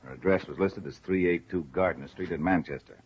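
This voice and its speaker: An actor playing a talkative, puzzled male insurance man in a 1950s radio drama, chatty and deliberate. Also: low voice, breathy edge. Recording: muffled, noisy old radio recording.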